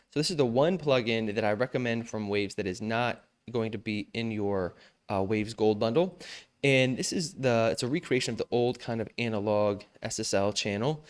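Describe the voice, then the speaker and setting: A young man with a smooth, clear voice talks through a vocal mixing tutorial. He is relaxed and slangy, and he jokes about himself between the steps. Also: mid-range voice. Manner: relaxed, slangy, self-joking. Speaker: music production tutor. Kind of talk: casual screen-recorded mixing tutorial.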